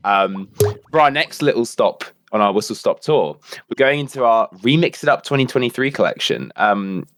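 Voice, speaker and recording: A man's voice: mid-range voice, lively intonation, normal speed, fairly bright tone, no echo, studio quality. A man co-hosting a livestream, chatting enthusiastically over gameplay with lots of fillers and restarts. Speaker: livestream co-host. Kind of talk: casual chat, commentary over gameplay.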